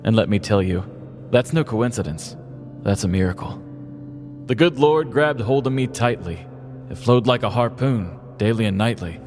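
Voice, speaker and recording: A man's voice: fairly low pitch, lively intonation, normal speed, darkish tone, big-room sound, faint music behind, natural voice. A man voicing a fervent, folksy preacher who delivers a pompous, declamatory mock sermon for a Halloween story.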